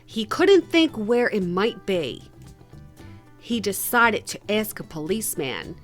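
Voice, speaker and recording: A woman reading a children's picture book aloud in an expressive, measured storytelling manner. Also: mid-range voice, faint music behind. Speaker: read-aloud host.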